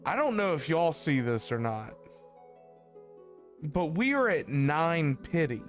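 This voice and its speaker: A man's voice: fairly high pitch, very animated, takes it slowly. A man chatting casually on a game livestream, rambling and repeating himself as he talks to his viewers. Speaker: game streamer. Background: faint game music.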